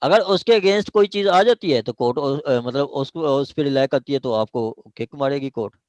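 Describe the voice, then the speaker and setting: A man's voice: mid-range voice, moderate pace, a little mellow, dry recording. A man with a Pakistani accent lecturing an online law class, repeating points, checking understanding and mixing in English legal terms.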